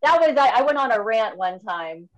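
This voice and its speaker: A woman's voice: a little high, lively intonation, moderate pace, lo-fi sound. A woman in her fifties talks loosely on a video call, stopping and restarting her thoughts. She is a metal musician chatting with old friends through a webcam mic. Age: 50s. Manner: rambling, frequent fillers and restarts. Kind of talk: casual video call chat.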